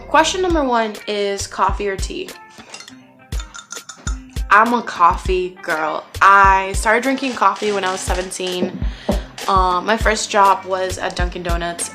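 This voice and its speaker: A woman in her twenties from Puerto Rico, chatting casually and self-mockingly about herself with plenty of ums and likes. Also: mid-range voice. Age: 20s. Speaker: vlogger. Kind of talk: casual get-to-know-me chat. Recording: music playing clearly behind the voice.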